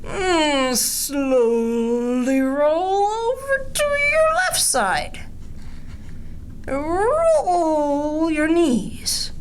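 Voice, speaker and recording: A woman hosting a children's storytime, reading picture-book verse and giving simple yoga instructions in a playful, drawn-out, sing-song way. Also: sits high, slight background noise. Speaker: children's storytime host leading bedtime yoga. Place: indoors, small draped space, lying on the floor.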